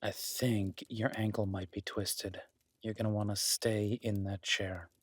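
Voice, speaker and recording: A man playing a gruff, skeptical but caring cabin dweller in an intimate audio roleplay, blunt and sweary yet grudgingly reassuring. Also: fairly low pitch, little pitch movement, a little slow, no room sound. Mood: apologetic, reassuring. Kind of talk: immersive audio roleplay, intimate naturalistic acting.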